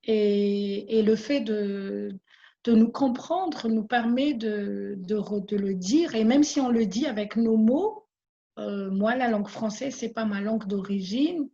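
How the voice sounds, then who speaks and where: A woman's voice: medium pitch, slow pace, mellow, muffled recording. A woman giving an interview about her business in an earnest way, often repeating words as she searches for them. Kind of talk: casual conversational testimony.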